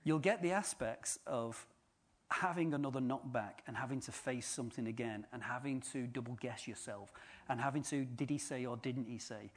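A man's voice: mid-range voice, moderate pace. A man giving an informal sermon, chatty and joking with the congregation. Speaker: preacher.

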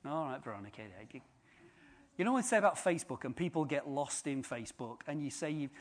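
A man's voice: on the high side, expressive, brisk. A man giving an informal sermon, chatty and joking with the congregation. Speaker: preacher.

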